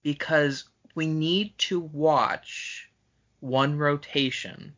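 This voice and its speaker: A man co-hosting a podcast in casual conversation, with a wry, deliberate manner. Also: a little high, fairly even intonation, fairly bright tone. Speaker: podcast co-host. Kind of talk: casual chat. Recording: dull, lo-fi podcast audio.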